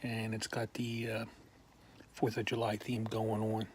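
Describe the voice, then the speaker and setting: A man slowly showing off his toy collection in a casual video, pausing often with ums and clearing his throat while losing his voice. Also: lowish voice, completely flat delivery, a little mellow, slight background noise. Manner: slow, halting, many ums and uhs, frequent throat clearing. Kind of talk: casual show-and-tell.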